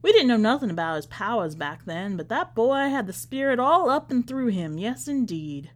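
A woman's voice: a little high, big pitch swings, moderate pace. Folksy, devout church lady, played by a woman narrator, rambling and evasive, for a storybook reading. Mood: chatty, fond. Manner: chatty, folksy Southern-style church talk. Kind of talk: acted storybook dialogue.

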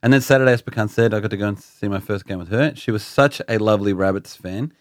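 A man's voice: fairly low pitch, fast talker, dry recording, studio quality. A man with an Australian accent co-hosting a podcast in casual chat, joking and self-mocking. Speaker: podcast co-host.

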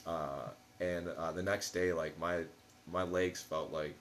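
A man's voice: low-pitched, fairly even intonation, takes it slowly, a little hiss or hum. A man in his late twenties chatting casually as a podcast guest, rambling along in slangy, profane language. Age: late 20s. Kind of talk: casual chat.

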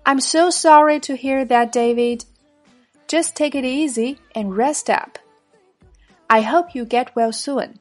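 A woman's voice: high-pitched, slow. A woman reading the part of speaker B in a practice dialogue, plain and careful. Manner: plain, careful read-aloud of lesson lines. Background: faint background music.

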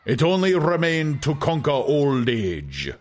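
Man voicing a grandiose lecturing world leader, pitched up. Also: huge pitch swings, heavily acted. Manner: oratorical, measured, savouring lists and repetitions. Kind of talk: dramatized audiobook acting.

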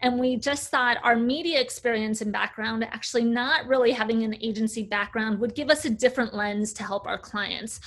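Chatty woman with a high voice. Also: fairly even intonation, normal speed, few pauses, a bit bright. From United States. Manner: chatty, fluent storyteller with filler "uh"s. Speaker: agency CEO, guest. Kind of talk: casual interview talk.

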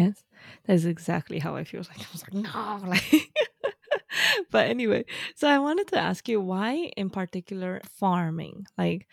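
A young woman interviewing a guest in a chatty, warm-hearted way, with frequent "like". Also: on the low side, creaky, dry recording, clean studio sound. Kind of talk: casual interview conversation.

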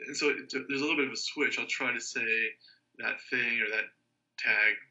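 A man's voice: medium pitch, fairly even intonation, normal speed, pushed and bright, lo-fi sound. A man chatting casually as a guest, thinking aloud and rambling with restarts. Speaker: language learner guest. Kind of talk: casual conversation.

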